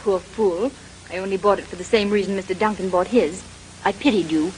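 Actress as spoiled rich girl, late teens, petulant, rapid speech, 1930s radio drama, slightly noisy. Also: mid-range voice, fairly even intonation, a little mellow, natural voice. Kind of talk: 1930s radio mystery acting.

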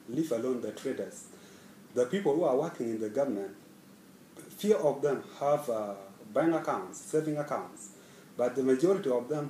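A young man from South Sudan introduces himself in an earnest, halting way, pausing often between thoughts. His voice is mellow and soft-edged. He sits a little back from the mic in an echoey small office. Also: medium pitch, slight background noise. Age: young adult. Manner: earnest, hesitant with many pauses and fillers. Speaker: scholarship student. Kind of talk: self-introduction to camera. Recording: echoey room, slightly far from mic.

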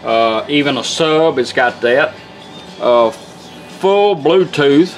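A man's voice: medium pitch, expressive, slow pace. A man giving a casual, folksy, plain-spoken demonstration as a car radio restorer. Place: indoor workshop bench. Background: music from the demonstrated radio.

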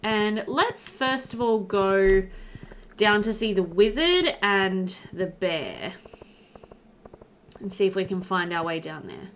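A woman's voice: mid-range voice, slow pace, fairly bright tone, a little hiss or hum. A young woman giving casual, chatty gameplay commentary into a headset, thinking aloud as she goes. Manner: chatty, thinks aloud, talks to game animals. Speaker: let's-play host.

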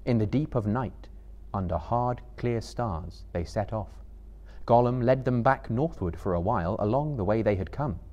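A man in his thirties giving a measured, theatrical literary narration as a live dramatic reader. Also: fairly low pitch, a little mellow, muffled recording. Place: seated indoors on a book-lined stage before a live audience.

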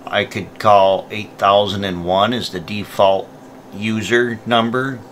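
Low, bright-voiced man giving instructions. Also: fairly even intonation, takes it slowly, a little hiss or hum. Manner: plain, methodical explaining. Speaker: product tutorial presenter. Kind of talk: step-by-step instructional narration.

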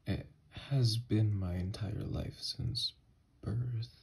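A man voicing an obsessed, unhinged kidnapper scientist in an ASMR horror roleplay, soft and breathy, coaxing gently with quiet menace. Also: lowish voice, takes it slowly, heavily acted. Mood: tender, hesitant. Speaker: ASMR audio roleplay voice actor. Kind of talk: ASMR horror roleplay monologue, partly whispered.

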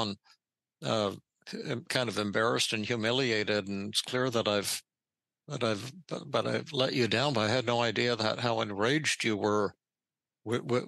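An older man hosting a therapy podcast, talking in halting, repeated starts while demonstrating a role-play. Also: medium pitch, creaky, bright, forceful tone, no echo, poor audio. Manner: halting, many repeated words.

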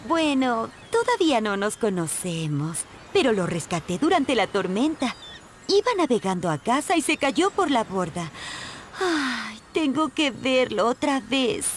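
A woman voicing a dreamy, eager teenage mermaid princess in an animated game, full of bubbly exclamations. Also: fairly high pitch, measured pace, a little hiss or hum. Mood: smitten. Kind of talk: animated video game voice acting.

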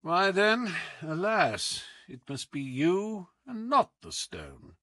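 A man voicing a sharp, commanding detective in an audiobook, coolly taunting, with deliberate, teasing emphasis. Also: sits high, wildly swooping pitch, no room sound, clean studio sound, voice pushed up, heavily acted. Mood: calm, persuasive. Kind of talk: audiobook character acting.

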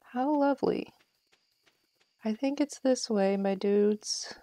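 A woman's voice: a little high, slow, dark tone, dry recording. A woman giving casual live commentary as she streams a game, playfully talking to herself and her viewers.

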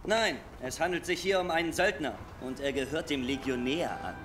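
An actor playing a nervy, know-it-all young soldier in a comedic military game, wordy and animated. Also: male voice, a little high, normal speed, slight background noise, close to an everyday voice. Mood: eager, explaining.

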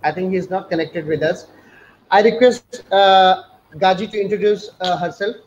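A man's voice: sits high, moderate pace. A man in his forties hosting a university webinar over a video call from an indoor office, speaking formally with frequent restarts and fillers. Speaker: webinar host, university director. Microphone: laptop webcam video call. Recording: muffled video call audio with faint noise.

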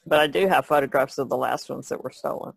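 A woman chats informally as a podcast panelist. There is a marked creak in her voice, and the sound is close and dry. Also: very deep voice, fast, dry recording. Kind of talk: casual chat.

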